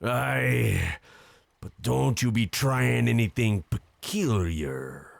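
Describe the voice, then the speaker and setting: An actor playing a gruff, hearty old sea captain with a salty nautical dialect in an audio adventure. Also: male voice, medium pitch, expressive, slow, dry recording, full character voice. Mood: suspicious. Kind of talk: cinematic audio adventure acting.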